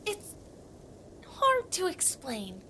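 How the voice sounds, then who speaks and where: A woman's voice: extremely high pitch, huge pitch swings, very slow, pushed and bright, slight background noise, exaggerated voice. A woman playing a timid, bookish young male mountain troll, hesitant and earnest, in a family audio drama. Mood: earnest, wistful.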